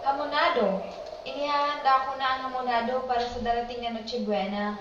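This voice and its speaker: A woman's voice: high voice, flat delivery, fairly quick, noisy background, lightly acted. A woman playing a caring, fretful older household nanny in an amateur radio drama. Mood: chatty, curious. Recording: roomy, distant, dull sound under loud music.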